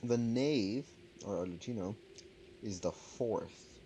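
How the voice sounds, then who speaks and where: A man's voice: lowish voice, slow pace, mellow, a little hiss or hum, rough recording. A man giving casual, slangy, offhand commentary as he streams a game. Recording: game music behind the voice.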